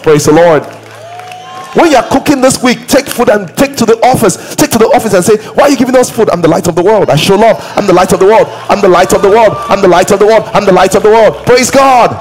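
A man in his thirties with a Nigerian English accent, preaching a lively sermon on a church stage, acting out quoted voices and sometimes breaking into song or shouts. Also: fairly high pitch, poor audio. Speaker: pastor.

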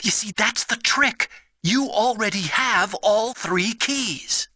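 An actor playing an eager young technical adviser in a video game, explaining things earnestly. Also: male voice, high voice, slow pace, bright, forceful tone, full character voice. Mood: earnest, urgent. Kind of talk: expository video game voice acting.

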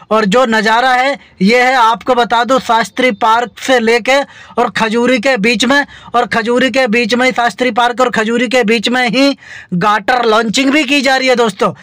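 High voiced indian guy narrating roadwork from a phone on the move, chatty, dry close sound. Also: fairly even intonation, normal speed, pushed and bright, no echo. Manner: chatty, repetitive, addresses viewers as friends. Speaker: vlogger. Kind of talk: narrating a construction tour from a moving vehicle.

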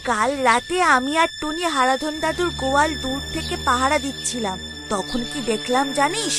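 A woman's voice: high-pitched, lively intonation, normal speed. A woman voicing a brave, determined young village cowherd boy in a children's cartoon, with earnest, dramatic delivery. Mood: resolute. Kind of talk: Bengali children's cartoon dialogue. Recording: music behind the voice.

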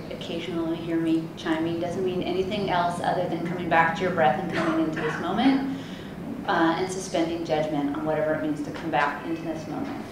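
A woman moderates a panel, her speech slow, gentle and low, with little rise and fall. She pauses often and fills gaps with soft hesitations. The sound is distant and very echoey, with a steady hiss underneath. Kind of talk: guided meditation and introduction. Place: conference room with a panel table.

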